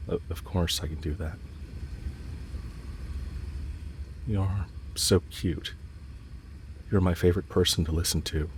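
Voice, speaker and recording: Lovestruck villain played by a man, low-pitched, earnest and hesitant, intimate audio roleplay with ambient noise behind. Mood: tender, soft. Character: lovestruck male villain confessing to his enemy, yearning.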